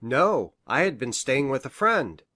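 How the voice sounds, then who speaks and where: A woman's voice: extremely low pitch, sing-song, unhurried, a bit bright, dry recording, clean studio sound, pitched below the performer's own voice, full character voice. A woman voicing a courteous, earnest male doctor in an audiobook, polite in manner. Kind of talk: audiobook character reading.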